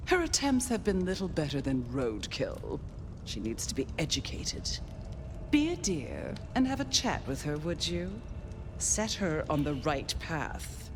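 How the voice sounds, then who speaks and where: Haughty, slow-drawling vampire grande dame. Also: female voice, sits low, big pitch swings, noisy background. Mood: imperious. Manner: aristocratic, theatrical drawl, condescending endearments. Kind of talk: dramatic video game acting.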